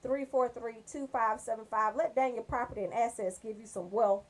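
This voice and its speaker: A woman's voice: a little high, steady tone, a little fast. An American woman hosting a casual radio show, chatty and slangy, joking as she talks straight to her listeners. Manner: slangy, joking, bantering, addresses listeners directly.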